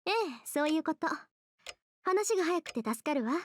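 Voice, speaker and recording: A woman playing a sharp-tongued, composed teenage girl in a Japanese visual novel, brisk, scolding and haughty. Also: sits high, animated. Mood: smug, cool. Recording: background music under game dialogue.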